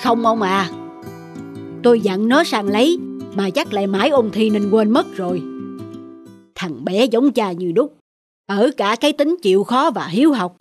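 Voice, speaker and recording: A woman playing a kindly, thrifty older village wife, homely and caring, in an animated drama. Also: mid-range voice, measured pace, pauses often, natural voice. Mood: fond concern. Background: music.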